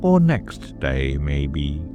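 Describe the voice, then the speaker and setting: Storybook frog footman: solemn and dim, ponderously slow, with hugely swooping pitch. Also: wildly swooping pitch, music in the background, full character voice. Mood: stolid, unbothered. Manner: slow, drawn-out, solemn delivery. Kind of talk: dramatic audiobook reading.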